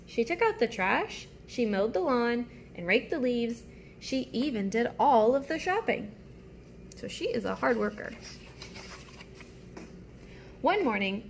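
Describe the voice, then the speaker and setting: A woman reads a picture book aloud to young children in a slightly high voice with a clearly creaky edge. She phrases things simply and deliberately and turns to her listeners with asides. There is faint noise behind her.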